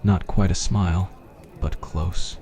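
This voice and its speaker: A man's voice: low voice, fairly even intonation, takes it slowly, dark tone. A man reading a scripted fantasy roleplay as ASMR story narration.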